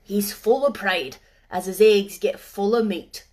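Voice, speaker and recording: A woman voicing a sarcastic old male gardener with a broad Yorkshire dialect, blunt, loud and drawling. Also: mid-range voice, measured pace, lightly acted. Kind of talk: storybook reading.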